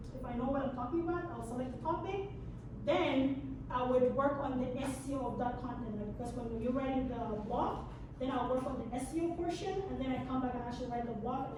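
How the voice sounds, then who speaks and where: Woman, native Arabic speaker, relaxed lecture, high voice, distant, echoey room. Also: background noise. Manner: relaxed, chatty, with fillers and laughs. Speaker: guest lecturer. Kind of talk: answering questions in a class. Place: classroom.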